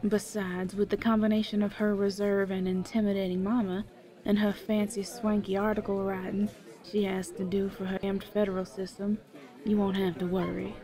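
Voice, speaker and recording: A woman's voice: medium pitch, little pitch movement, normal speed, mellow, close to an everyday voice. A woman playing a brash, bossy but motherly small-town eatery woman, blunt and teasing, in an audio drama. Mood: frank, reassuring. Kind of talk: audio theater acting.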